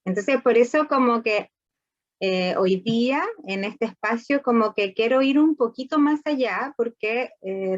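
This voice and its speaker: A woman's voice: on the high side, muffled recording. A woman from Chile with a Chilean accent, a psychologist giving an informal talk over a video call in a loose, chatty way. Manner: loose, conversational, full of fillers. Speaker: psychologist guest speaker.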